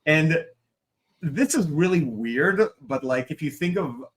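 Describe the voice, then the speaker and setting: A man's voice: a little high, lively intonation, slow. A man in his forties from Israel, a Hebrew speaker, talking casually as an interview guest, rambling with fillers and asides. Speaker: guest, creative director and comic writer.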